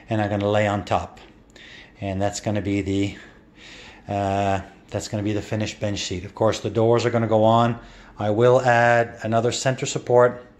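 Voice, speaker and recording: A man giving a casual, rambling walkthrough of his kitchen renovation with lots of fillers, recorded on a handheld phone in the kitchen. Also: fairly low pitch. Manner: rambling, many fillers and restarts. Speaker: home renovation vlogger. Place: kitchen under renovation, indoors. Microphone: handheld phone camera.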